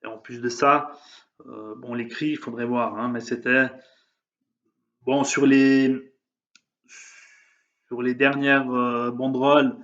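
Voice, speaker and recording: A man's voice: mid-range voice, little pitch movement, slow, pauses often, fairly bright tone, lo-fi sound. A man giving an emphatic, heated opinion monologue, hesitating often with fillers. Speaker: vlogger. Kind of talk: opinion monologue on current events.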